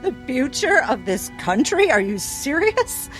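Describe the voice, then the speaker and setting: A woman's voice: high voice, very animated, unhurried, soft background music, low-quality recording. A woman playing a guarded doctor in a 1960s-set drama, stammering and defensive under questioning. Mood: indignant, flustered. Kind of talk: 1960s cinematic drama acting.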